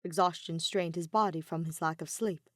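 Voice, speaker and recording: A woman narrating fan fiction like an audiobook, measured and emotive, with dramatic pauses. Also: fairly low pitch, fairly even intonation, no room sound, studio quality. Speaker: podfic reader.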